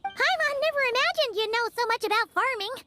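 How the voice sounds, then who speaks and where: Very high, squeaky little girl. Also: expressive, quick pace, sharp tone, soft background music, heavily acted. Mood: cheeky. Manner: squeaky, childish, hesitant then blurting. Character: tiny excitable childlike girl, naive and cheeky. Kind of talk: cartoonish game voice acting.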